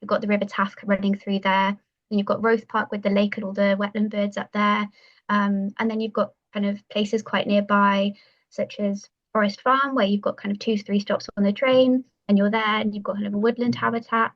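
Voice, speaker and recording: A woman's voice: medium pitch, little pitch movement, moderate pace, muffled recording. A young woman from West Wales, chatting as a podcast guest and filling her talk with "um", "like" and "kind of". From Pembrokeshire, West Wales. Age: young adult. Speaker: guest, university bird society president. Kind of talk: podcast interview.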